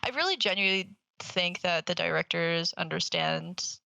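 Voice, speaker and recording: Bright, creaky-voiced woman chatting on a podcast. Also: fairly low pitch, lively intonation, moderate pace, no echo. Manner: emphatic, repeats words for stress, swears casually. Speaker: podcast co-host. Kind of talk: casual podcast chat.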